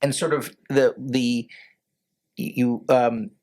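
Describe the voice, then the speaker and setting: A man's voice: medium pitch, level tone, takes it slowly, darkish tone. A man hosting an interview podcast, asking probing follow-up questions with plenty of fillers and restarts. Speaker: podcast host. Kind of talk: casual interview conversation.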